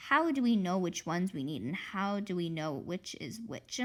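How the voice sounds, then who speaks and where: Woman as reluctant kid best friend in a storybook: hesitant, questioning, cautious, with lively pitch. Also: moderate pace. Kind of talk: children's book read-aloud character voice.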